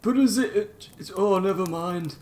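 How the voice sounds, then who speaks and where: A man voicing a big, gruff half-giant man who sounds flustered and hesitant. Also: sits high, moderate pace, pitched above the performer's own voice, lightly acted. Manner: loud, lively, hesitant and flustered.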